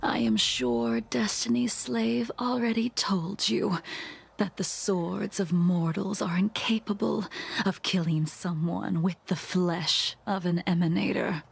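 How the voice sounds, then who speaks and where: Slow, creaky-voiced solemn swordswoman. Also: medium pitch, heavily acted. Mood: cold, detached. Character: solemn, world-weary swordswoman master, cold and immortal. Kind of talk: dramatic video game voice acting. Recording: loud music behind the voice.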